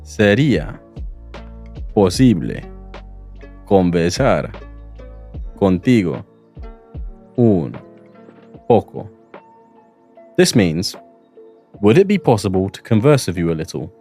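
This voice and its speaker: Language-course host, London man, deep and expressive, unhurried, patient with learners. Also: soft background music. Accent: London English. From England. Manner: friendly, clear, teaching pace with repeat-after-me pauses. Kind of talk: presenting a Spanish lesson. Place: outdoors in a public garden.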